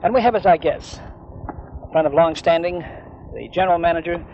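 Male radio interviewer, 1970 sports broadcast, formal and courteous, slightly high voice, muffled noisy tape. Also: normal speed, a little mellow. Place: on location at a spring training base. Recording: noisy, dull vintage 1970 radio recording.

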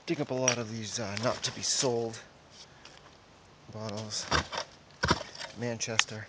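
A man's voice: on the low side, fairly even intonation, takes it slowly. A middle-aged man giving casual, terse running commentary in short fragments while digging outdoors, picked up by a camera at varying distance. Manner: terse, fragmented remarks while physically working. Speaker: amateur bottle digger. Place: outdoors in woods. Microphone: handheld or propped camera at varying distance. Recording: noisy outdoor camera audio with clicks.

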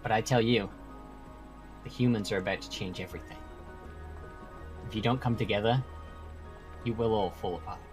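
A man's voice: fairly low pitch, normal speed, long pauses, lightly acted, poor audio. A man playing an earnest, persistent mortal mediator with a persuasive manner in an improvised video game roleplay. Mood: frustrated, grave. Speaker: roleplay actor. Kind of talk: improvised Minecraft supernatural roleplay. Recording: music behind the voice.

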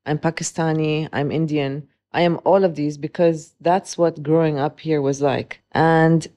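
This is a woman in her forties with a notably low voice, speaking as an interview guest. She talks thoughtfully, pausing and restarting as she searches for words. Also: steady tone, warm tone. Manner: reflective, with frequent hesitations and restarts. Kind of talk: conversational interview.

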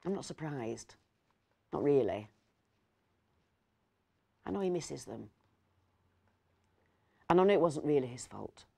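A woman's voice: rumbling low, animated, slow pace, creaky, pauses often, mellow, husky, heavily acted. A middle-aged woman, playing a fussy, kindly, rambling Christmas dinner host in a storytelling monologue. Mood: concerned, subdued. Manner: hesitant, digressive, self-correcting. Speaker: actor reading a story. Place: indoors, seated in an armchair.

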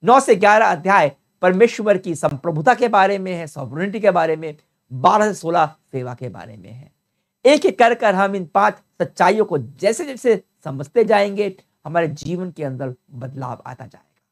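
A man's voice: high-pitched, lively intonation, moderate pace. An older man teaching a Bible study with a sore throat, earnest and explanatory, repeating key terms, speaking into a lapel mic in a study.

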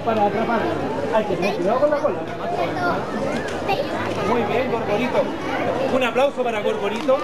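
A man's voice: high-pitched, moderate pace, long pauses, echoey room. A man presenting to families at a live expo, explaining and addressing the crowd. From Chile. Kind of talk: educational talk to a crowd. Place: busy public expo hall and plaza. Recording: loud crowd and noise from a camera.

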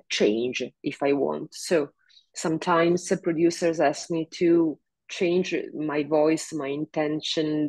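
Slow, low-voiced woman singer on a call. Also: a little mellow, no echo. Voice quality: big low speaking voice. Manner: halting, many fillers, laughs often. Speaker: singer, interview guest. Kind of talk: casual video call interview. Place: home room, seated in office chair.

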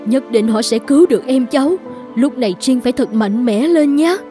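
Actress as cartoon orphanage matron, compassionate and anxious, warm dark tone, faint music underneath. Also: female voice, on the high side, normal speed, natural voice. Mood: gentle. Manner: sympathetic, caring. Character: kindly, compassionate, worried orphanage carer woman. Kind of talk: animated children's story dubbing.

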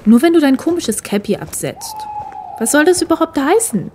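A woman's voice: sits high, very animated, moderate pace, darkish tone, slight background noise. An actress playing a young, uneasy but teasing girlfriend in a horror audio drama. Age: young adult.

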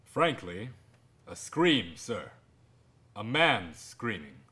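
An actor in a radio drama playing a courteous police inspector who speaks in a formal, measured way. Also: male voice, lowish voice, very animated, sharp tone, heavily acted. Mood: polite probing.

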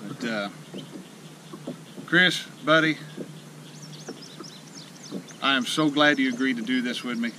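A man, out of breath and excited, chatting folksily to the camera from a small boat on a lake as host of a fishing vlog. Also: medium pitch, takes it slowly, long pauses. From Illinois, USA. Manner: folksy and excited, rambling with many fillers. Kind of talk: casual outdoor vlog talk. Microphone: action camera mounted in the boat. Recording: loud wind and water noise outdoors.

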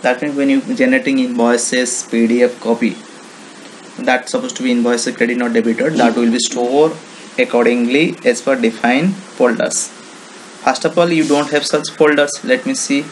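A man with an Indian accent presenting an informal software walkthrough in halting, repetitive, non-native English phrasing. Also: medium pitch, fairly even intonation. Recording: noisy home-made screen recording.

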